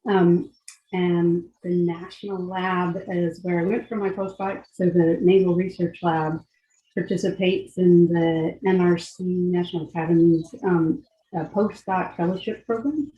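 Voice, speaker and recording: A woman's voice: on the low side, fairly even intonation, measured pace. A woman speaking as a scientist panelist on a video call, giving an informal account of her career. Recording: echoey, dull video-call audio.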